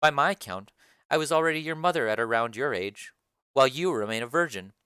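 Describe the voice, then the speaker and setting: A woman voicing a stern, formal noble mother in a dramatic reading of a play, speaking in a lowered, measured voice. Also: lively intonation, fairly bright tone, clean tone, dry recording, studio quality.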